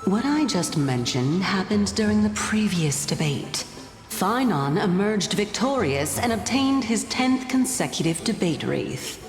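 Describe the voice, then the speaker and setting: A woman's voice: medium pitch, very animated, measured pace. A woman voicing a playful, enigmatic female sage in a video game, teasing and unflappable, with theatrically poised delivery. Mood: composed, confident. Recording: reverberant hall echo. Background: music.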